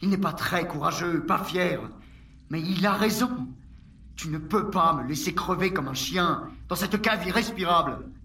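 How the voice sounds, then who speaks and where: An actor playing a desperate war prisoner, once a proud chief, pleading in an agitated, theatrical way in a French audio drama. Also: male voice, high voice, moderate pace, pushed and bright, reverberant, distant mic, a little hiss or hum.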